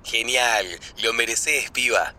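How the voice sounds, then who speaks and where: A man's voice: medium pitch, fairly even intonation, slow pace, bright, forceful tone. A man with an Argentine Spanish accent, playing a young man cheering on a friend in a recorded dialogue.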